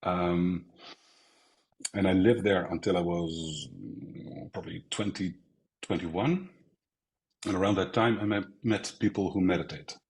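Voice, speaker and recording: A man's voice: low voice, fairly even intonation, a little slow, long pauses, a little mellow, rough recording. A middle-aged man from the Netherlands, a sales coach telling stories as an interview guest, often acting out quotes. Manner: reflective, storytelling with acted quotes. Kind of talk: casual interview talk.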